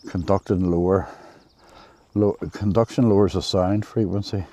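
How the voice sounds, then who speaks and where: A man's voice: deep voice, fairly even intonation, moderate pace, warm tone. A man, rambling and hesitant with many restarts, talking to camera while walking outdoors. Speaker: travel vlogger. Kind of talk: rambling casual vlog. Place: outdoors in nature on a walk. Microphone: handheld camera while walking.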